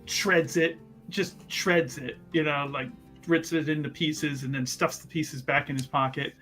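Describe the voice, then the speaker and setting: Unhurried man narrating his game character. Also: fairly high pitch, fairly even intonation, fairly bright tone, faint music behind. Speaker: player in a tabletop roleplaying game. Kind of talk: casual improvised character narration.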